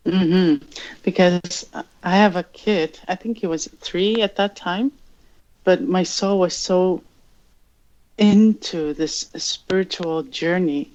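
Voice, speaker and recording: Slow, thoughtful Greenlandic woman. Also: fairly low pitch, a little mellow. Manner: slow, thoughtful, searching for words. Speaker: interview guest, journalist. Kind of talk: reflective interview answers. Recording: dull lo-fi sound.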